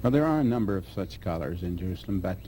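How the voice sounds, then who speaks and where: A man answering interview questions as a scholar, speaking haltingly with restarts mid-phrase. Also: low voice, lively intonation, dark tone, slight background noise. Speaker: guest scholar.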